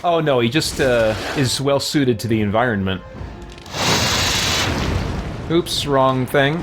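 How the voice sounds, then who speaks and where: A man's voice: medium pitch, slow pace, loud music behind the voice. A man doing casual gaming commentary as a let's-play host, thinking aloud in a conversational way. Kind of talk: casual gaming commentary, answering viewer questions.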